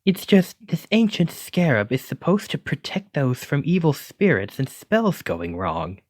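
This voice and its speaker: A man's voice: on the high side, very animated, measured pace, darkish tone, no room sound, heavily acted. A man voicing a clumsy, kindly wizard in an ASMR roleplay, courteous and apologetic, stammering when flustered. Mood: alarmed. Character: clumsy, kindly wizard bookseller teaching magic. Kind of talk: ASMR roleplay addressed to listener.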